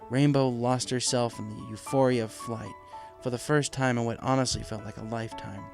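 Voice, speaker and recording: A man's voice: mid-range voice, steady tone, moderate pace, vocal fry. A man reading scripted story narration as the narrator of a fan fiction audiobook. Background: music.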